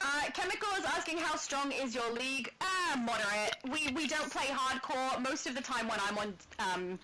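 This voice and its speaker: Giggly high-voiced Australian woman. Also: lively intonation, normal speed, low-quality recording. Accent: Australian, slightly British-tinged. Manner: giggly, chatty, reads chat aloud. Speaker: livestream host. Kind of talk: casual gaming livestream chat.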